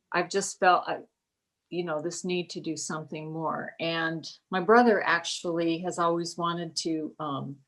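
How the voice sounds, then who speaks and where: Chatty woman in her sixties, low-pitched. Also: a bit bright. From Kansas, US Midwest. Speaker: guest, writer. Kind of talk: casual interview chat.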